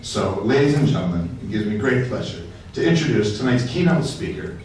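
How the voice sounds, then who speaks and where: A man's voice: medium pitch, lively intonation, brisk, a little mellow, a little hiss or hum. A man introducing the keynote speaker at a banquet, earnest and hesitant with fillers. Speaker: event emcee. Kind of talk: formal introduction. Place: large hotel banquet hall. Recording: echoey hall from far off.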